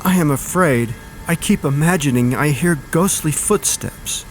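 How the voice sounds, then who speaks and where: A man's voice: a little high, a little slow, soft background music, pitched above the performer's own voice, lightly acted. A man voicing a frightened young girl, anxious and pleading, in a dramatic horror story reading. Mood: fearful.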